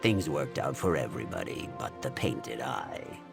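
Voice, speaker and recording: A man voicing a sardonic, world-weary veteran thief in a video game, with a deadpan, grumbling delivery. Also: very deep voice, normal speed, creaky, over loud music, exaggerated voice. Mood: dry, sardonic. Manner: deadpan, sarcastic. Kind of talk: scripted video game dialogue.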